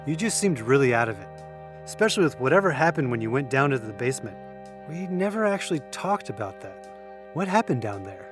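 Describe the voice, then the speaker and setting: A man's voice: medium pitch, very animated, a little fast, music in the background, close to an everyday voice. A man playing a knowing young man in an audio drama, talking in a casual, matter-of-fact way. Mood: probing. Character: Zeb, knowing young man telling eerie memories.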